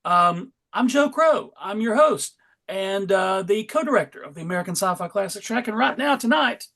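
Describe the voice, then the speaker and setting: A man's voice: high voice, animated, normal speed, vocal fry, a bit bright. Male host-narrator for a comic table read: theatrical storytelling, wry asides, tongue in cheek.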